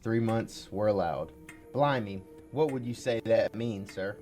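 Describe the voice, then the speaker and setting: A man's voice: lowish voice, a little slow, a little mellow, faint music behind, lightly acted. Man playing a puzzled, curious train passenger. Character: puzzled, chatty male train passenger reading an advertisement. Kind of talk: radio drama acting.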